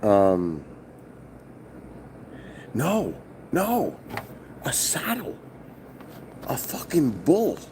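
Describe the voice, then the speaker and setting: A middle-aged man from New with a loud voice, telling a rambling, profane story on a casual stream from his hotel. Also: medium pitch, huge pitch swings, very slow, long pauses, slight background noise. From New York. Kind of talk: casual live-stream vlog. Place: hotel, indoors.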